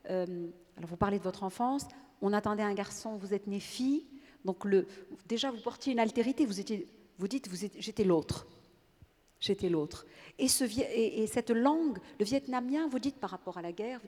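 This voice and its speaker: Moderator, woman; thoughtful and hesitant, mellow voice, reverberant hall. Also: medium pitch. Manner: reflective, hesitant, questioning. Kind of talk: panel discussion. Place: large theatre on stage. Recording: long theatre reverb.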